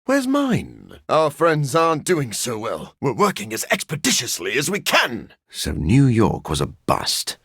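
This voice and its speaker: An actor voicing a brooding, dryly witty gentleman vampire scientist in a video game, with a formal, measured, theatrical delivery. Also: mid-range voice, huge pitch swings, breathy edge, no echo, clean studio sound, exaggerated voice. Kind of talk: scripted video game character lines.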